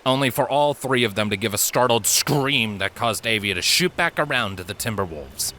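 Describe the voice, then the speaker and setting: Bright, low-voiced man narrating dramatically. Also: animated, moderate pace, a little hiss or hum. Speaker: audiobook narrator. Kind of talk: dramatic scripted story narration.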